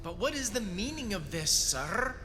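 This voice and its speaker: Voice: medium pitch, sing-song, normal speed, sharp tone. An actor playing an indignant, self-important scientist in an audio drama, flustered and sputtering. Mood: cowed. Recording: echoing hall with faint music.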